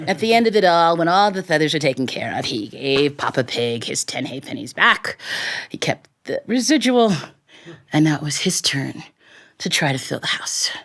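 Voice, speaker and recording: A woman storyteller performs live in a theatrical, expressive way. Her pitch moves widely as she plays up each turn of the tale. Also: normal speed.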